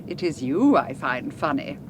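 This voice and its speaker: A mysterious woman of quiet menace in a radio thriller. Her delivery is clipped and controlled, yet very varied in pitch, over a noisy recording with vehicle sound. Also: big pitch swings, measured pace. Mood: cool, mocking. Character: coolly menacing, mysterious female gang member with a gun. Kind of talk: radio drama acting.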